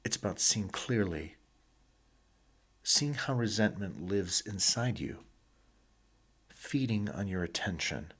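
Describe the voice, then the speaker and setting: A man narrating a sleep hypnosis session in a husky, breathy voice, with a slow, deliberate, soothing delivery and short pauses between phrases. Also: fairly low pitch, lively intonation, fairly bright tone.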